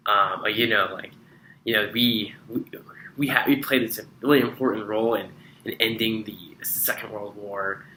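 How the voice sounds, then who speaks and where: A young man from New Mexico answering an interview in halting, repetitive phrases as he thinks aloud. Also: fairly low pitch, steady tone, bright, forceful tone, reverberant, slight background noise, low-quality recording. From southern New Mexico, USA.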